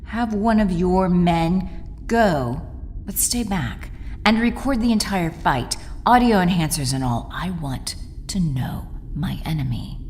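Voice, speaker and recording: A woman's voice: lowish voice, expressive, slow pace, a little mellow, long reverb tail, faint music behind. A woman playing a cold, scheming alien commander in an audio drama, commanding and theatrical in delivery. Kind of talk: audio drama villain acting.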